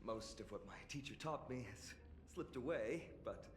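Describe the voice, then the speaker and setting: Actor as an earnest young hero, sincere, lively pitch, echoey with faint music. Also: male voice, moderate pace, a little hiss or hum, close to an everyday voice. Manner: warm-hearted, sincere, reflective. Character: earnest young male hero, friendly and thoughtful.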